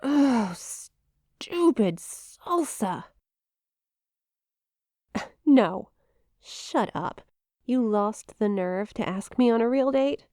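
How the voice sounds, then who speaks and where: A woman's voice: a little high, animated, extremely slow, pauses often, darkish tone, no room sound, clean studio sound. A woman playing a shy, playful young eldritch monster classmate in an intimate ASMR roleplay, teasing and hesitant, with a flustered stammer. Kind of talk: ASMR roleplay, intimate one-sided conversation.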